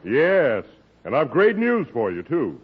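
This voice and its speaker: An actor playing a polite middle-aged army major who questions a guest in a 1940s radio comedy. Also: male voice, medium pitch, huge pitch swings, takes it slowly, breathy edge, full character voice. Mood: genial. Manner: polite, genial, probing. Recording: tinny old radio broadcast.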